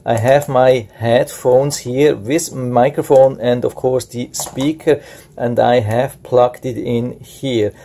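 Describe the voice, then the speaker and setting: Guy doing a gadget review, flat delivery, soft mellow voice, walks you thru it step by step. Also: medium pitch, slow, slight background noise. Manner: plain explanatory, step by step. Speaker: product reviewer. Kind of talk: unscripted product review and tutorial.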